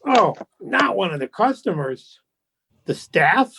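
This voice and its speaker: Guy playing a sly smug old-chap gentleman, slow drawl, swoopy pitch, fake polite radio drama. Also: sing-song, vocal fry, breathy edge, exaggerated voice. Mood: prying, teasing. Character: suave, sly, insincere gentleman, teasing and smug. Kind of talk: radio play acting.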